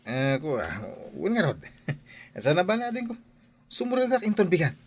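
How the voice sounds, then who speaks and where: A man plays an easygoing Filipino boozer in an audio drama. His voice is a little high and leaps around in pitch, with hesitant, evasive delivery. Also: wildly swooping pitch, moderate pace, slightly rough, full character voice. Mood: sheepish, evasive. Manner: hesitant, stammering excuses. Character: sheepish hard-drinking grown son, evasive and easygoing.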